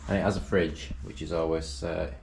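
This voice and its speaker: A man's voice: deep voice, little pitch movement, moderate pace, gravelly, darkish tone, slight background noise. A man chatting casually in a travel vlog, joking about himself and laughing often. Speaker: travel vlogger. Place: hotel room and outdoors.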